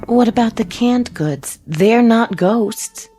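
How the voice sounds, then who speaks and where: An actress playing a practical, insistent survivor in a hungry town, in a direct radio drama style. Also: female voice, on the high side, lively intonation, takes it slowly, mellow, soft background music.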